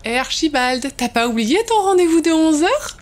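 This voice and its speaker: Woman playing a sullen, high-voiced little sister. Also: animated, moderate pace, background noise. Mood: bored, sarcastic. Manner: grumbling, sarcastic. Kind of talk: audio comedy drama acting.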